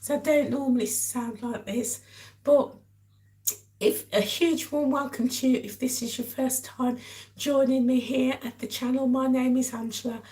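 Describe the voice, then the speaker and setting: A woman with a hoarse, croaky voice from a cold, chatting casually to live viewers as a vlog host. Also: sits high, steady tone, moderate pace, mellow. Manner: chatty, addresses viewers directly. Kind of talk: casual live-stream chat.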